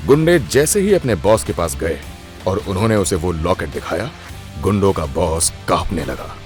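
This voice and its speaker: A man's voice: low-pitched, big pitch swings, normal speed, darkish tone, faint music behind. A man narrating a scripted audio drama story in a dramatic style.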